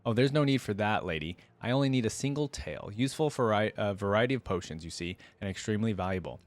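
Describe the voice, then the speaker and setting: A man reading aloud the part of a sly, smooth-talking woman fortune teller in a story. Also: on the low side, lively intonation, fast, lightly acted. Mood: smug, sly.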